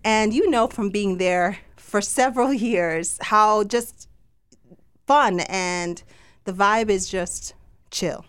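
Jamaican woman, chatty entrepreneur, lively and laughing, dry close mic. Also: mid-range voice, slow, clean tone, dry recording. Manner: enthusiastic, laughs often. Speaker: podcast guest, summit organizer and entrepreneur. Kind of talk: casual chat.

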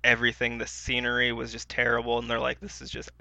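A man's voice: mid-range voice, completely flat delivery, quick pace, creaky, sharp tone, dry recording, lo-fi sound. A man co-hosting a podcast, chatting casually in a hesitant, rambling way. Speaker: podcast co-host. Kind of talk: casual chat about films.

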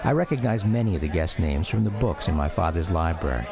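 Over the bustle of a crowd, a grown son looking back on his father in a radio drama. His voice is low and gravelly. He narrates the memoir wistfully, with plenty of rise and fall. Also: animated, fast talker. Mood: fond, reflective.

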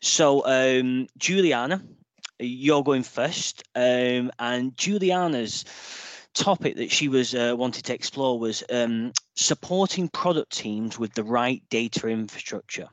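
A man hosting a panel podcast, introducing guests and guiding the talk in a relaxed way. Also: mid-range voice, slow, no room sound. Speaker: podcast host.

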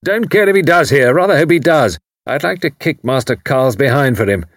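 Loud, barking old English commander. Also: male voice, medium pitch, expressive, fairly quick, no room sound, clean studio sound, pitched above the performer's own voice. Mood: blustering, indignant. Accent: upper-class English. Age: older. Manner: bluff, emphatic, clipped phrasing. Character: hearty, blustering older British naval commander, bluntly patriotic.

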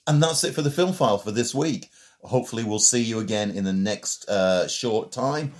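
A man hosting a film podcast, chatting in a relaxed way about what he has been playing. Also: lowish voice, moderate pace. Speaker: podcast host. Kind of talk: casual chat.

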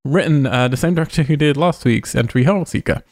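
A man's voice: a little high, a little fast, dry recording. Chatty man hosting a film podcast, dry close sound. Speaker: podcast host. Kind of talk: casual film podcast discussion reading out credits.

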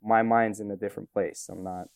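Romanian-born man, native Romanian speaker, smooth tone, musing casual answers, loose and rambling. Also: fairly low pitch, fairly even intonation, a little fast, darkish tone, dry recording, clean studio sound. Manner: reflective, rambling with many fillers. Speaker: interviewee, photographer and musician.

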